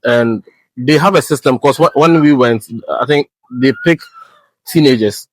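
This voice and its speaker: A man's voice: medium pitch, a little slow, no echo, low-quality recording. Ghanaian man explaining his training. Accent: Ghanaian English. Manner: relaxed, explanatory, frequent fillers and restarts. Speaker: air traffic controller guest. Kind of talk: casual podcast conversation.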